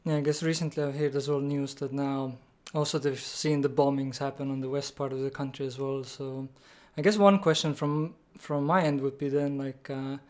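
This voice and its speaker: A man's voice: medium pitch, little pitch movement, moderate pace, darkish tone. A man interviewing a guest, asking loose questions full of fillers. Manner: casual, rambling questions with many fillers. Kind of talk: remote interview.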